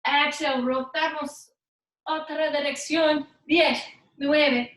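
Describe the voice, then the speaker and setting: A woman's voice: high-pitched, fairly even intonation, slow pace, fairly bright tone. A woman fitness instructor giving upbeat, playful workout cues, slipping in Spanish words. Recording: echoey room, voice far from mic.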